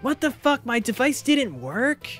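A man's voice: extremely high pitch, very animated, measured pace, a bit bright, pitched above the performer's own voice, full character voice. Man doing a flustered, swoopy-voiced inventor. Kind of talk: visual novel character dubbing. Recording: music behind the voice.

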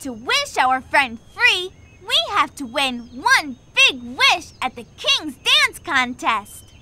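A woman's voice: high-pitched, sing-song, slow, heavy background noise, heavily acted. A woman voicing a cheerful young girl explorer who guides the player through a children's game with encouraging instructions.

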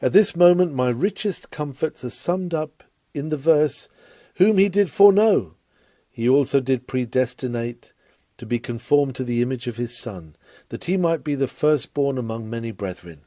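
A man reading a scripted sermon aloud in a solemn, measured, oratorical manner. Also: medium pitch, expressive, warm tone, dry recording. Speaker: sermon reader.

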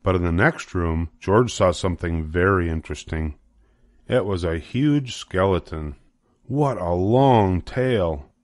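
A man's voice: low-pitched, lively intonation, measured pace, darkish tone, no room sound, clean studio sound. An older man narrating a children's storybook as its reader.

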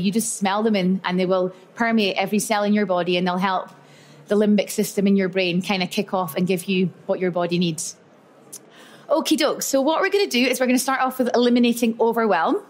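A woman giving a chatty, upbeat workshop talk to a live audience at an event. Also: mid-range voice. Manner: chatty and upbeat, storytelling with lots of "so" and "yeah". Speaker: speaker at a live expo talk.

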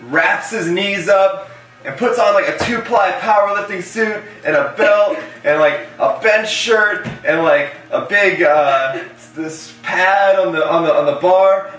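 Higher-voiced young American man, echoey room. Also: measured pace, fairly bright tone. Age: young adult. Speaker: co-host. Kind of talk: casual banter to camera. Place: small indoor room with hard table. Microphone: camera a few feet away. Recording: echoey room, distant camera mic.

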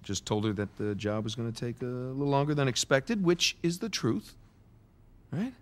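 A man's voice: medium pitch, moderate pace, natural voice. An actor playing an eager, stubborn treasure hunter in his thirties in a video game, an American man talking casually and persuasively. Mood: tense. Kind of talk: cinematic video game acting.

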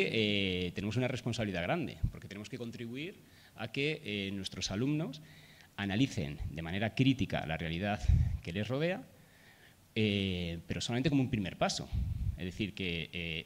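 A man talks through a conference presentation at a table microphone. His voice sits somewhat low, and he pauses often, ending nearly every phrase with a questioning "¿no?". The event room rings with a long reverb. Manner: hesitant, many filler "¿no?" tags. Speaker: speaker. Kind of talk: conference talk.

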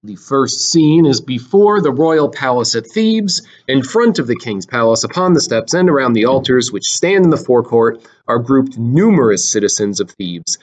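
A man's voice: medium pitch, big pitch swings, moderate pace, few pauses. A man reading a classic play aloud like a teacher, announcing characters and stage directions. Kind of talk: dramatic scripted read-aloud of stage directions.

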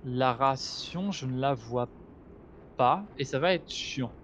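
A man's voice: medium pitch, slow, fairly bright tone, slight background noise. A man chatting casually while playing a co-op game, explaining the mission and guiding his partner. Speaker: gamer, co-host of a gaming channel. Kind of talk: casual co-op gameplay chat.

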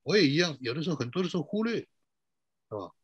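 A man's voice: a little high, big pitch swings, fast, slightly rough, dry recording. A middle-aged man pastor giving a sermon to an online church, posing rhetorical questions and drawing out emphatic phrases.

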